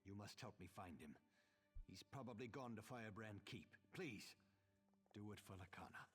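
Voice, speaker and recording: A man's voice: lowish voice, animated, moderate pace, fairly bright tone, soft background music. An actor voicing a grieving, enraged male noble duke in a video game, demanding and anguished. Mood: vengeful. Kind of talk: game voice acting.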